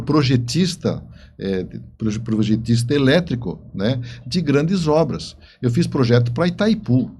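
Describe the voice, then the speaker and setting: A man, telling stories in an interview in a halting, emphatic way with repeated words. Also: medium pitch, darkish tone, slight background noise. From southern Brazil. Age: 70s. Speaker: interview guest, writer and engineer. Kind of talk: conversational interview storytelling.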